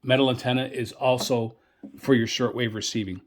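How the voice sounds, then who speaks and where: A man's voice: mid-range voice, fairly even intonation, moderate pace, slight background noise. A man giving a casual product demonstration as a reviewer, in a hesitant, rambling way, often repeating himself. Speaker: radio reviewer presenting gear.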